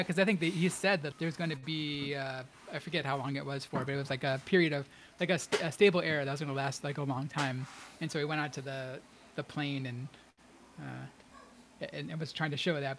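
A man's voice: fairly high pitch, a bit bright, slight background noise. A man co-hosting a casual podcast, explaining ideas in a chatty way with plenty of fillers. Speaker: podcast co-host. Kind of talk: casual book discussion.

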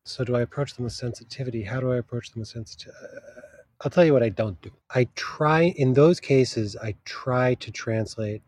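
A man's voice: mid-range voice, brisk, a little mellow. A man who works as a literary translator, talking in a casual interview, thoughtful and often searching for the right words mid-sentence.

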